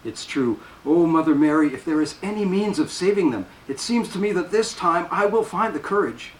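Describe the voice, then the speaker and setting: A man's voice: high voice, expressive, normal speed, slight background noise, voice pushed up. A man reading aloud and voicing a panicked, defiant young nun whose voice breaks with outrage, heard in a roomy space from a distant mic.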